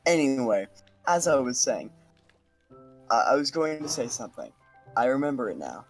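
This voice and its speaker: A man's voice: mid-range voice, sing-song, moderate pace, soft background music. A man chatting casually and jokingly with a group on a gaming livestream. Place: online group voice call.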